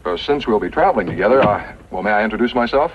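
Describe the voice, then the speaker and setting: An actor playing a courteous, reassuring cavalry lieutenant in a 1950s radio western, polite and formal. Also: male voice, lowish voice, animated, quick pace, close to an everyday voice. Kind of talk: 1950s radio drama acting. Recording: muffled, hissy old radio recording.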